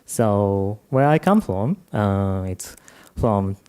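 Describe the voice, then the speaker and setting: A young Japanese man with a Japanese accent giving a conference talk, halting and full of fillers, tired from jet lag. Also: on the low side, animated, dark tone, clear, resonant tone. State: jet-lagged. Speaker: conference speaker. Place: conference room.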